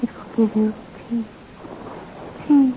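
A woman playing a mysterious, soothing yet commanding island dweller in an old radio horror play, hushed and imperious. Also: a little high, steady tone, slow pace. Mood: calm, commanding. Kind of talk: 1960s radio horror acting. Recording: muffled old radio, noisy background hiss.

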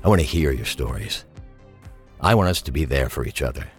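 Male paranormal radio host, low-pitched, expressive delivery, courteous, solemn, reassuring, radio drama with music underneath. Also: a little fast, breathy edge, full character voice. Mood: inviting, mysterious. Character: male late-night paranormal radio host, smooth, earnest, reassuring guide. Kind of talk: late-night radio monologue and call-in hosting.

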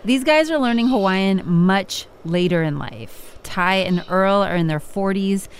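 A woman reporter narrating a radio news feature in a measured, scripted delivery. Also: mid-range voice, lively intonation, slight background noise. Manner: measured, clear storytelling delivery.

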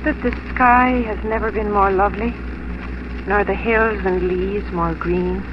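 A woman's voice: mid-range voice, steady tone, unhurried, natural voice. A woman acting a shy, polite young country schoolmistress who flusters easily, in 1950s radio drama style. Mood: soft, dreamy. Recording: muffled, noisy 1950s radio broadcast.

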